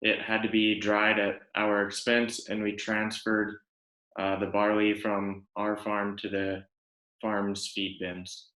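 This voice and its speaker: Young male student presenter, Western Canadian, slow and halting, flat intonation, roomy echo. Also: fairly low pitch. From Alberta, Canada. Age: young adult. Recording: echoey room sound.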